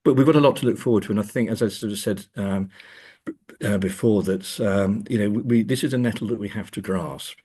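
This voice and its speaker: Deep, husky man, thoughtful. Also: warm tone. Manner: hesitant, thoughtful, measured phrasing. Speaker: guest academic being interviewed. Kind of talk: reflective conversational interview.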